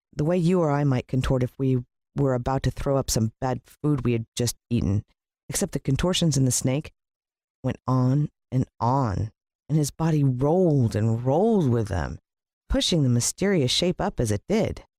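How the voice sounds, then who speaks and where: Animated American woman reading a children's story. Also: extremely low pitch, moderate pace, no echo, studio quality. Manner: dramatic, playing a child's first-person point of view. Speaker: storybook narrator. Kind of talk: expressive scripted children's story narration.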